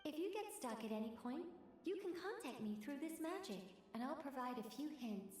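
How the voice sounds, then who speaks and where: An actress playing a composed, businesslike mage in a video game, calm, polite and explanatory. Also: female voice, high-pitched, fairly quick, faint music behind. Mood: polite, reassuring. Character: composed, businesslike female mage directing a staged play.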